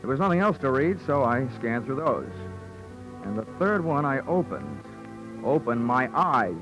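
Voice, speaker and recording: An American actor playing a dry, deadpan, hard-boiled insurance investigator narrating his case in a 1940s radio drama. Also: mid-range voice, unhurried, lo-fi sound. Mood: wry, tense narration. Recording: old 1940s radio recording, music behind.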